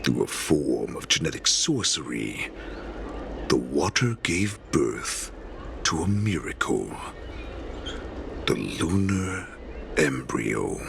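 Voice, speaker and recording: An actor playing an ancient, sly, captive wolfish warlord in a game, speaking with slow theatrical menace and breathy drops toward a whisper. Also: male voice, extremely low pitch, sing-song, noisy background, exaggerated voice. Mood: storytelling, ominous. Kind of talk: dramatic game cutscene monologue.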